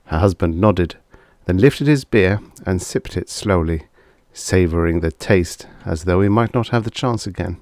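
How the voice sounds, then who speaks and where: A man's voice: deep voice, measured pace, few pauses, darkish tone, dry recording, studio quality. A man narrating scripted fiction as an audiobook reader, telling the story expressively.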